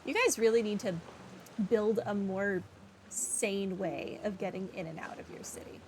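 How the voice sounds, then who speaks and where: A woman's voice: mid-range voice, moderate pace, darkish tone, a little hiss or hum. A woman giving casual, offhand playthrough commentary, thinking aloud as she plays. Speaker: gaming streamer. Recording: game music behind the voice. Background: clearly audible game music.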